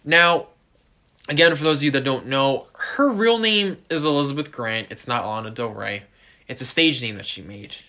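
A man co-hosting a casual music podcast, talking to listeners directly in an animated way and leaning on stock phrases. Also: medium pitch, moderate pace. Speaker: podcast co-host.

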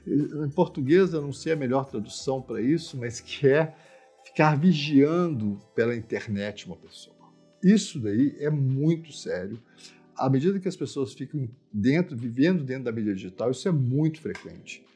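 Brazilian man, Minas Gerais accent, mid-pitched and mellow, informal expert commentary, thoughtful but emphatic. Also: expressive, moderate pace, husky, faint music behind. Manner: reflective, explanatory, emphatic, often checks in with tag questions. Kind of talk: informal spoken commentary on social issues.

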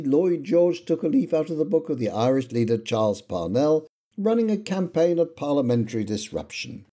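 A man hosting a history podcast, reading a scripted narration with quoted passages in a measured way. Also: mid-range voice. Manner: measured, lively intonation, reading quotations.

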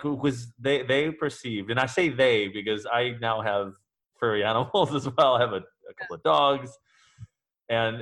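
A man interviews a guest in a relaxed, conversational way. He stumbles and repeats words as he gathers his thoughts, often laughing along. He grew up in New Zealand and Singapore. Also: mid-range voice.

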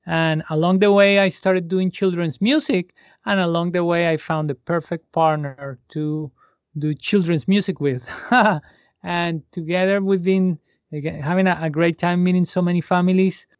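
A man's voice: high-pitched, steady tone, moderate pace, smooth, dry recording, clean studio sound. A man from Colombia with a Colombian accent, a musician talking as an interview guest, friendly and slipping into Spanish phrases. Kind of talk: interview conversation.

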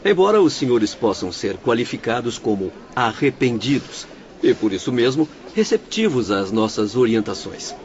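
An actor with a Brazilian accent playing a courteous, composed doctor who explains things formally in a radio drama. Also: male voice, mid-range voice, big pitch swings, normal speed. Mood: calm, explanatory. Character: courteous, composed male doctor guiding patients in the afterlife. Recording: dull lo-fi radio recording, faint noise.

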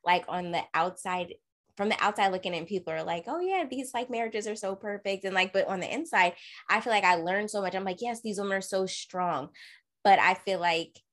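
A young woman co-hosts an unfiltered, casual conversation. She speaks with a mid-range pitch and shows no restraint in her word choice. She acts out the quotes in her stories, jumping sharply upward in pitch. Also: a little fast. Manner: candid and animated, acts out quoted remarks. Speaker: podcast co-host.

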